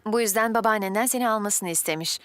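A woman's voice: mid-range voice, fast, dry recording, natural voice. A woman voicing a helpful, reassuring school secretary in a children's cartoon dub.

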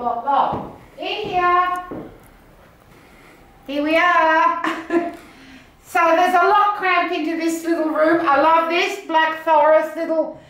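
A very echoey indoor recording of a middle-aged Australian woman with a high voice. She chats enthusiastically as she shows auction items from room to room, picked up by a camera microphone a few steps away. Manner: chatty, enthusiastic. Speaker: auction house presenter. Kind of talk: casual walk-through tour of auction items. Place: small indoor rooms of an auction house. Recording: very echoey bare rooms.

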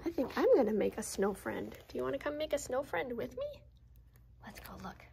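A woman storyteller reading aloud to children in a playful, expressive manner. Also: high-pitched, fairly quick, gravelly, a little hiss or hum. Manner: playful, expressive, with gasps and interjections. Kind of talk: animated children's read-aloud.

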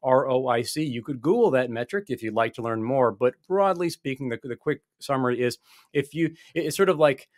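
A man's voice: medium pitch, animated, brisk, no room sound, clean studio sound. A man in his forties, a guest on a video call, explaining investing with many restarts and fillers. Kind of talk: conversational interview. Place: small office with wall panels. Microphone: desk microphone on video call.

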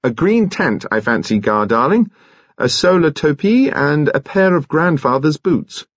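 An affable young British gentleman, voiced by a male reader, polite and fondly teasing. His pitch sits a little high and moves in a lively way, as a character in an audiobook. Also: measured pace, no room sound, muffled recording.

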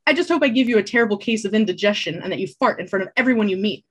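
A woman voicing a sulky teenage boy who answers tartly and whines, with a slightly husky tone. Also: medium pitch, expressive, rapid-fire. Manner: whiny, sarcastic.

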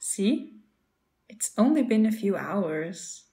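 A woman's voice: mid-range voice, sing-song, slow pace, dark tone. A woman playing a playful, game-obsessed young girlfriend in an ASMR roleplay, talking straight to the listener. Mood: playful, pleading. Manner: playful, pleading, talks to the listener as "babe".

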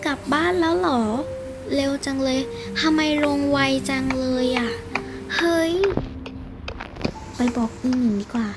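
Very high, childlike female voice, slow play-acting. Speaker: storyteller voicing a homemade game skit. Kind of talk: improvised schoolgirl role-play drama. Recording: loud music behind the voice. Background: loud music.

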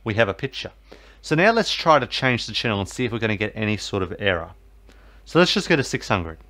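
A man's voice: on the low side, sing-song, fast talker, bright, forceful tone. A man talking viewers through resetting a TV box, rambling and full of fillers. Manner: rambling, filler-heavy, repeats "basically" and "obviously". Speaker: tech tutorial host. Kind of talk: casual how-to voice-over.

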